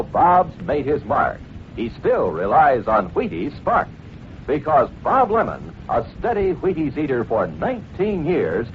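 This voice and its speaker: A man as a commercial announcer, delivering a rhythmic mid-century radio advertising pitch. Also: slight background noise. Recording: tinny old radio recording.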